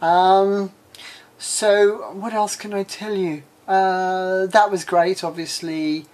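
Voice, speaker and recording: A woman in her forties from the UK, with an English accent turned slightly Australian, chatting frankly in a casual vlog and often pausing with ums and uhs. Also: medium pitch, steady tone, darkish tone. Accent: English with a slight Australian tinge. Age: 40s. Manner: rambling, many ums and uhs. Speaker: vlogger.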